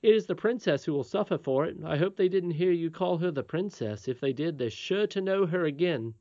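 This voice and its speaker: A woman's voice: lowish voice, lively intonation, brisk, mellow, dry recording, highs cut off, voice pushed up, close to an everyday voice. A woman reading a storybook aloud, voicing a confident, cheerful twelve-year-old miner boy with a frank, matter-of-fact manner.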